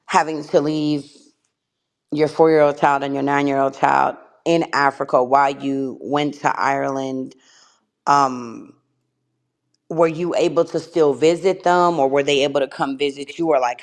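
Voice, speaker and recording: A woman hosting a podcast reads out a guest introduction and then asks long, rambling questions. She sounds friendly and uses plenty of fillers as she talks. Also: sits low. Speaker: podcast host. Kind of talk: casual interview hosting.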